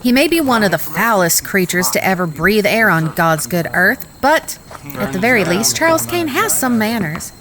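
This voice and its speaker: A woman's voice: medium pitch, big pitch swings, moderate pace, fairly bright tone. A woman playing a self-assured, folksy young frontier woman with genteel, emphatic phrasing in a western audio drama. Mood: assured, reassuring.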